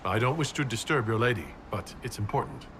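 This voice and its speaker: An actor playing a composed, courteous leader in a fantasy video game, asking questions in a measured, businesslike way. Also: male voice, medium pitch, expressive, fairly bright tone, long reverb tail, slight background noise, natural voice. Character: composed male leader of an inquisition, courteous and purposeful. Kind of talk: video game dialogue acting.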